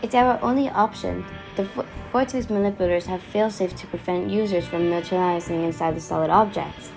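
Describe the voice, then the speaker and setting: Actress as brisk female commander, music behind. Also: fairly low pitch, gravelly, darkish tone. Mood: firm, impatient. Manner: businesslike, curt, explanatory. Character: brisk, no-nonsense female time-travelling commander, impatient and businesslike. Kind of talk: audio drama acting.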